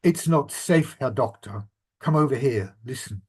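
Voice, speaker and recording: A man's voice: mid-range voice, expressive, a little slow, darkish tone, husky. A man reading aloud the lines of an agitated caretaker who urges his employer to flee. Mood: urgent. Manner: urgent pleading, read aloud by a speaker. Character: anxious male caretaker urging escape.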